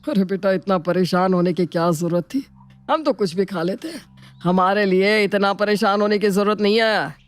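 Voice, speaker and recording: An elderly, easily flattered uncle, acted out by a woman for a cartoon moral tale. The voice sits at a middling pitch, affectionate and effusive, with words repeated and faint music underneath. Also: moderate pace, natural voice. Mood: kindly protesting. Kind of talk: animated Hindi moral story dialogue.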